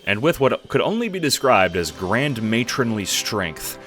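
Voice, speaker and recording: A man's voice: mid-range voice, very animated, a little slow, fairly bright tone, soft background music. A man reading scripted second-person audiobook narration with a dramatic storytelling delivery.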